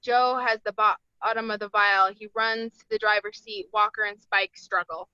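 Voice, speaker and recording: A woman narrates screenplay directions at a table read. Her voice is fairly high and bright, captured dry with a slightly dull, lo-fi sound. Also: fairly even intonation, moderate pace, smooth, no room sound.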